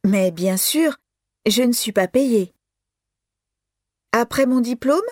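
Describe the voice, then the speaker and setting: Woman as young female student, slow pace, slightly high pitch, careful articulation, scripted language-exercise monologue. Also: mellow, no room sound, clean studio sound. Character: twenty-year-old bilingual female student aspiring to journalism.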